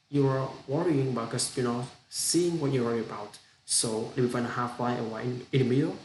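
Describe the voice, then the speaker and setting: A young man with a Vietnamese accent talks through an unscripted practice monologue in English, his second language. He speaks in a flat, even tone, stumbling into restarts and repeating words. The room is echoey, with a faint hiss behind him. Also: medium pitch. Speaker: student practicing spoken English.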